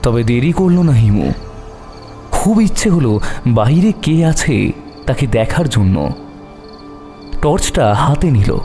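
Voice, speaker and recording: Casting: male horror narrator, suspenseful and animated, mellow tone, long reverb, soft music and crickets behind. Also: mid-range voice. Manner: suspenseful, with dramatic pauses. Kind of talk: dramatic horror story narration.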